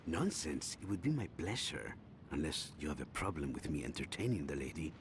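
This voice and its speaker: An actor playing a smooth, quietly menacing older Colombian drug lord in a video game, courteous and measured. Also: male voice, very deep voice, sing-song, slight background noise, exaggerated voice. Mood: suave, threatening. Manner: courteous, measured, veiled threat.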